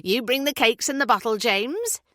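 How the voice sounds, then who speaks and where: A woman's voice: on the high side, lively intonation, pushed and bright, no room sound, clean studio sound, pitched above the performer's own voice. A woman putting on a gushing northern neighbour. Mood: apologetic chatter. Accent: slight northern English. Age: 40s-50s. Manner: gushing, rapid-fire, overbearing. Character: chatty hyperactive middle-aged British woman neighbour.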